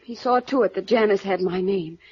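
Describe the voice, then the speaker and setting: A woman playing a guarded, defiant young runaway heiress, defensive and halting, in a 1950s radio noir drama. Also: medium pitch, slightly rough, lightly acted. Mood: resigned confession. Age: young adult. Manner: defensive, halting when cornered. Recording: dull, lo-fi old radio recording.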